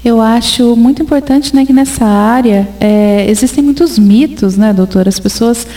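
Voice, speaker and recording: A woman's voice: on the high side, normal speed, dark tone. A woman hosting an interview, chatting and prompting the guest with tag questions. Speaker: interviewer host. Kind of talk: interviewing, reading listener questions.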